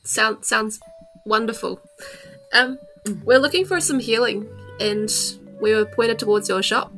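A woman's voice: a little high, unhurried, fairly bright tone, faint music behind. A woman with a New Zealand accent speaking casual in-character dialogue as a tabletop game player.

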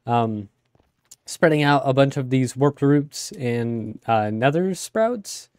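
A young man with a raspy voice from a cold, rambling casually with many fillers as he plays a game. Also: medium pitch, lively intonation, slow pace, darkish tone, dry recording, studio quality. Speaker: let's play host. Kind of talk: casual gameplay commentary.

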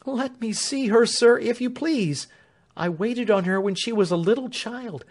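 A man voicing a distraught, loyal female servant who pleads in a high, breathless voice. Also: unhurried. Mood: pleading.

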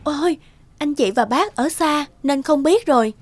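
A woman's voice: high voice, animated, moderate pace, slight background noise. A woman voicing a cheerful, helpful young hospital nurse in a cartoon, speaking in a polite, chatty way. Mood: sympathetic, explaining. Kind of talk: cartoon voice acting.

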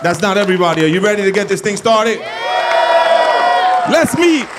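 A man in his forties from Puerto Rico with a New York accent, hosting a game show on a club stage and doing loud, profane crowd work into a handheld mic. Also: high-pitched, very animated, normal speed. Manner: loud, profane, teasing the audience. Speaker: comedian game show host. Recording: live club with crowd noise. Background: audience laughing and clapping.

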